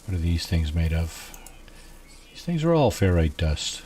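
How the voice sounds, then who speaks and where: Soft-spoken low-voiced man gaming. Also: sing-song, slight background noise. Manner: quiet and unhurried, musing aloud with many fillers. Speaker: gameplay streamer. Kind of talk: casual let's-play commentary.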